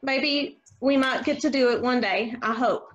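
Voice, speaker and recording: High-voiced woman on a dull video call. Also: measured pace, fairly bright tone, faint music behind. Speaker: host of a virtual table read. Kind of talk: casual group video-call chat.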